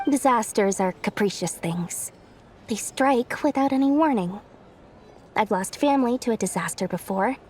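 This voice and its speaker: Haughty, high-voiced lady investor. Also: darkish tone, a little hiss or hum. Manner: haughty, brash, self-important, easily flustered. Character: haughty, mercenary young female investor, secretly kind. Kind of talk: video game cutscene acting.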